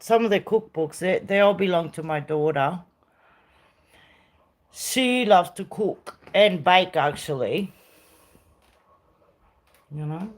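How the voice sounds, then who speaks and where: A middle-aged woman from South America giving a casual live stream tour of her rented home, chatting warmly to viewers and cooing at her dog. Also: lowish voice. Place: rented house, indoors.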